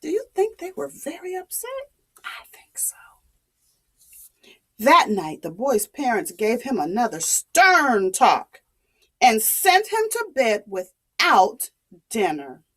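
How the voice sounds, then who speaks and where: A woman's voice: fairly high pitch, wildly swooping pitch, slow pace, breathy edge. A woman telling a story to young children in a dramatic way, asking questions and repeating things to explain them.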